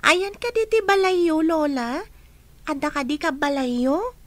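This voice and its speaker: A woman's voice: sits high, lively intonation, a little slow, dry recording. A woman playing a polite, curious young orphan in a radio drama, asking the old woman question after question. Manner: polite, asks many questions.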